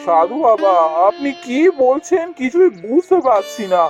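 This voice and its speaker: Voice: mid-range voice, very animated, a little slow, a little mellow. A cartoon performer playing a royal courtier, placating and dismissive. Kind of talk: cartoon fairy-tale voice acting. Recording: dull lo-fi sound with music.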